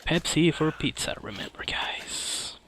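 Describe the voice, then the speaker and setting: A man's voice: on the high side, expressive, measured pace, in a whisper. A man who is a non-native English speaker, giving hesitant, rambling live commentary as a speedrunner playing a game. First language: not English. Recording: loud game music behind the voice. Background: video game music.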